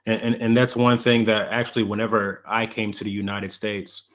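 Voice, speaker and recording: A Congo-born man hosts a podcast in a loose, affirming way, often doubling up words as he gets going. His voice sits somewhat low. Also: little pitch movement, normal speed, fairly bright tone. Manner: casual and affirming, repeats words when starting. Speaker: podcast host. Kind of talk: casual conversational interview.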